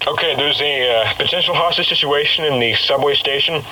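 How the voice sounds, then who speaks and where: Man playing tense cop giving a briefing; halting, filler-heavy; noisy backdrop. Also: a little high, lightly acted. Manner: hesitant, with fillers. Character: male police officer briefing his team, tense.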